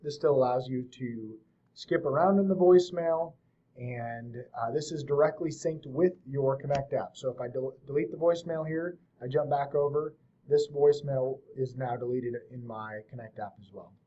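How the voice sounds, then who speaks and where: A man hosting a software tutorial, explaining things step by step in an informal, casual way. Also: medium pitch, normal speed, dull audio. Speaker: host of a software tutorial series. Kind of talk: casual screen-share tutorial narration.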